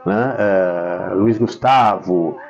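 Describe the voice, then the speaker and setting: A man's voice: deep voice, very slow, gravelly, a little mellow. An older man with a Brazilian accent telling stories as an interview guest, explaining things and repeating words for emphasis. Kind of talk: conversational storytelling interview. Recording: dull lo-fi sound, faint music.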